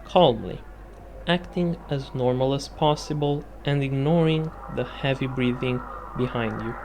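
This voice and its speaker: A man's voice: mid-range voice, measured pace, noisy background. A man narrating a scripted video essay with dramatic, suspenseful storytelling phrasing. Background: music.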